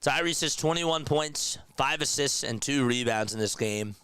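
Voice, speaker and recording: Man, casual live basketball play-by-play, slightly raspy and nasal from a sore throat, smooth resonant tone, dry close mic. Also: mid-range voice, moderate pace, pushed and bright, no room sound. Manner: conversational, reads and answers chat comments. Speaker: play-by-play commentator.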